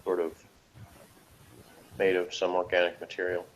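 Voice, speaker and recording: A man's voice: low-pitched, completely flat delivery, normal speed, rough recording. An American man chatting casually and jokingly as he co-hosts a review, in a wry manner. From United States. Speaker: co-host of a TV review show. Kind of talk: casual jokey review chat.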